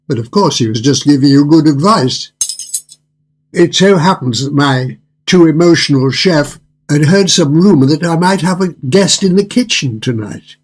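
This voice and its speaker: Radio drama restaurateur, played by an older man: husky, higher-set male voice, unctuous, courtly and quietly controlling. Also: expressive, moderate pace. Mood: smooth, courtly. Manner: formal, courtly, theatrical.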